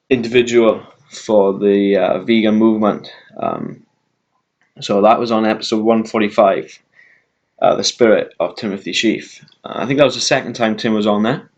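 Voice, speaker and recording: A man with a Welsh accent talking casually to his YouTube viewers, rambling with lots of ums and uhs and slowing himself down. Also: lowish voice, muffled recording. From Wales. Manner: rambling, many ums and uhs, deliberately slowed down. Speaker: vegan YouTuber. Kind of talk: casual talk to camera.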